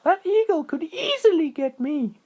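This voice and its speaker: Little storybook squirrel, squeaky, very high-pitched, loud and excitable, timid, friendly. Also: male voice, normal speed. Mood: scared. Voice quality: squeaky, shrill. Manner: exclamatory, repeats a greeting catchphrase.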